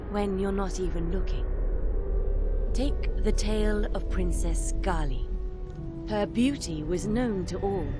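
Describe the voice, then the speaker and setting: A woman acting as a solemn storyteller, narrating fantasy legends for a video game in measured phrases. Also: on the low side.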